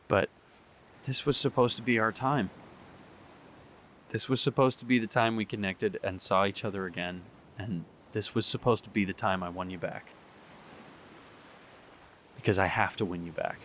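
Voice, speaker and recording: A young man performing a radio drama monologue, halting and sighing as he pleads with an absent partner. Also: lowish voice, steady tone, fairly bright tone, slight background noise. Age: young adult. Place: beside the sea.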